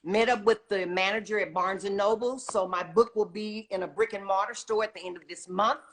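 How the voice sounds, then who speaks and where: A woman's voice: medium pitch, steady tone, brisk, a bit bright, a little hiss or hum. A middle-aged woman talking casually and enthusiastically as an interview guest, emphatic and often checking in with "okay?". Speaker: guest, children's book author and retired teacher.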